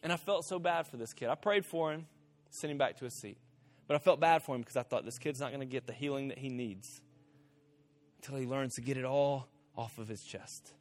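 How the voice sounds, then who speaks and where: A middle-aged man preaching a sermon, telling stories in an animated way, rising to emphatic peaks and dropping to hushed asides. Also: medium pitch. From Central America. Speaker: pastor.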